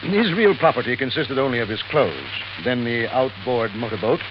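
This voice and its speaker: Fussy, halting lawyer played by a man, hissy recording. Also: medium pitch, lively intonation, natural voice. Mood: formal, flustered. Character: fussy, formal male lawyer reading a will. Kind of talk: 1950s radio drama acting. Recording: muffled, hissy old radio recording.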